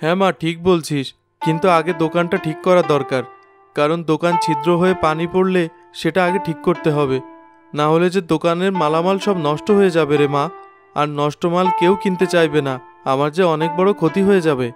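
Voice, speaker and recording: A man's voice: fairly high pitch, steady tone, a little fast, close to an everyday voice. A man voicing an earnest, worried poor village shopkeeper and father in a cartoon moral story. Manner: earnest, pleading, polite. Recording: music behind the voice.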